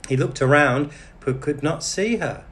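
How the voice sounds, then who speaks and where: A man reading a bedtime story aloud for English learners in an animated, deliberate manner. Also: fairly high pitch. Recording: clearly noisy background.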